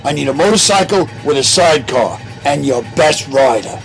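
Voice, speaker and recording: Creaky-voiced earnest investigator sidekick. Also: male voice, a little high, animated, normal speed, music in the background. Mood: urgent. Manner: earnest, urgent. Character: loyal, capable investigator sidekick to hero. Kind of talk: old-time radio drama acting.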